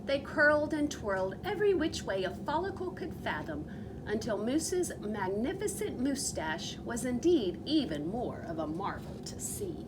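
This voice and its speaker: A woman reading a picture book aloud expressively, with theatrical, drawn-out emphasis on repeated words. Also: a little high, sing-song, background noise. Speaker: reader of a children's storybook.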